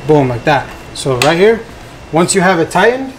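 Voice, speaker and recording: A man's voice: on the high side, big pitch swings, moderate pace. A young man giving an informal, slangy, hands-on tutorial as a family vlogger at home. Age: young adult. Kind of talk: casual hands-on product tutorial. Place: living room at home with tiled floor. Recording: clear background room noise.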